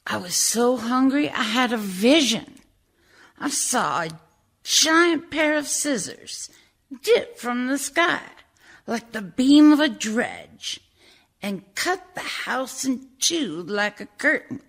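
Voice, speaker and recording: A woman playing a sly, destitute woman telling her story in a dramatic radio verse monologue, slowly and deliberately. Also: on the high side, very animated, a bit bright, exaggerated voice. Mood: hungry, visionary. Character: sly, destitute woman recounting how she claimed a mansion.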